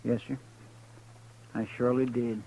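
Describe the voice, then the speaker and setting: A man's voice: medium pitch, little pitch movement, a little slow, mellow. A man playing a loyal, simple western deputy with a rural American drawl, hesitant and deferential, in a 1950s radio drama. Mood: uneasy, earnest. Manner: hesitant, stammering, folksy. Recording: muffled, noisy old radio recording.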